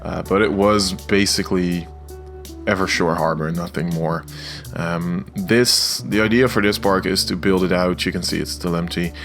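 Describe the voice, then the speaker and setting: A man's voice: low-pitched, steady tone, normal speed, fairly bright tone. A young Dutch man with a Dutch accent, talking casually over his gameplay video, hesitant and full of filler sounds. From Netherlands. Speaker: video game creator. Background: faint guitar music.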